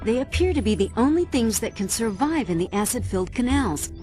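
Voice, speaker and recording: A woman's voice: fairly high pitch, animated, brisk, dark tone, soft background music, natural voice. Woman playing a poised spy mission briefer. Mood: briefing. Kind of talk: video game mission briefing.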